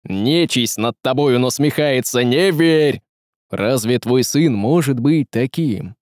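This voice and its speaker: A man's voice: medium pitch, slow pace, darkish tone, dry recording, studio quality, lightly acted. A man voicing a scheming, commanding sorcerer in a storybook, insistent and persuasive with archaic phrasing. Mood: urgent, persuasive.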